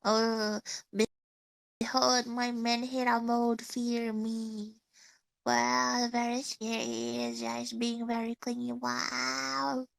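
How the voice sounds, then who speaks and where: A young Indonesian woman with an Indonesian accent and a stuffy nose, chatting casually with listeners as a streamer, halting and searching for words in English. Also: on the high side, gravelly, no room sound, poor audio. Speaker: VTuber streamer.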